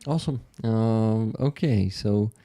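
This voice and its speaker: A man with a Ukrainian accent hosts a live stream chat in somewhat halting English. His voice is low, dark and mellow, and he fills the gaps with quick nods of "mm-hmm" and "yeah.". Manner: friendly interviewer, many short backchannels, slightly halting. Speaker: stream host.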